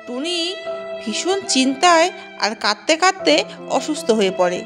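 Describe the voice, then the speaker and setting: A woman's voice: sits high, big pitch swings, moderate pace, over music. A woman narrating a scripted children's cartoon story.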